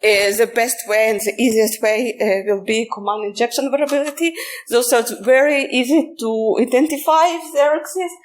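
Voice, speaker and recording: A woman speaking as a conference presenter, explaining technical material informally, with halting phrasing and frequent filler sounds. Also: on the high side.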